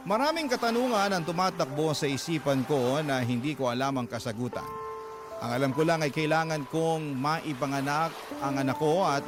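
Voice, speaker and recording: Male narrator for a radio drama, letter reading, somewhat high voice, music bed underneath. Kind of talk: reading a listener's letter aloud.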